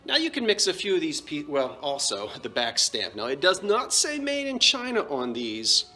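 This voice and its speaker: A man's voice: on the high side, huge pitch swings, moderate pace, sharp tone, faint music behind. A man with a New Jersey accent, casually showing and describing antique dishes in a chatty, self-correcting way. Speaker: antiques seller. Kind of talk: casual show-and-tell vlog.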